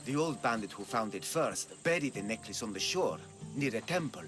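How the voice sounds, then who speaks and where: An actor playing an eager, scheming merchant in a video game, with a Greek accent and a persuasive manner. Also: male voice, mid-range voice, expressive, normal speed, natural voice. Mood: scheming, earnest. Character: eager, scheming male vegetable merchant desperate to leave. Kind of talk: video game cutscene acting. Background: music.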